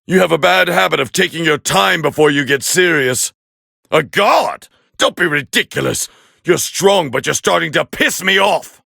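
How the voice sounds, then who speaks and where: Proud alien fighter prince, raspy, high-pitched for a man, swooping delivery, scornful, short-tempered, anime game commentary. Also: expressive, moderate pace, no echo, clean studio sound, heavily acted. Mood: irritated, defiant. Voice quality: gravelly, raspy. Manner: haughty, scornful, biting, forceful. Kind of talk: video game anime dub voice lines.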